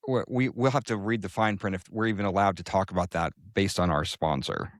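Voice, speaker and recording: A man's voice: deep voice, a little fast, no echo, clean studio sound. A man co-hosting a podcast, joking and teasing his partner in casual chat. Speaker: podcast co-host.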